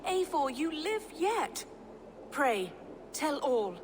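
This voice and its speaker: Solemn abbess in long reverb. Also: female voice, high-pitched, sing-song, slow pace, exaggerated voice. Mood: relieved, urgent. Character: devout, solemn medieval abbess. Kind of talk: dramatic video game acting. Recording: long reverb, clear background noise.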